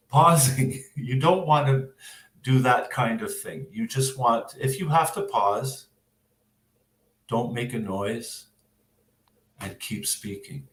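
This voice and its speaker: A man with a Canadian accent explaining exam advice as an English teacher, in a measured way with frequent hesitant pauses. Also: medium pitch. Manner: measured, hesitant, pausing often. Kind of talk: explanatory interview answers. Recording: roomy, echoing sound.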